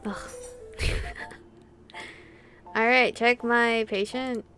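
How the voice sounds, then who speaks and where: A woman's voice: fairly high pitch, fairly even intonation, very slow, pushed and bright, soft background music. A woman giving blunt, sarcastic, sweary casual commentary as she plays a game. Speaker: gaming YouTuber. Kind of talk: casual let's play commentary.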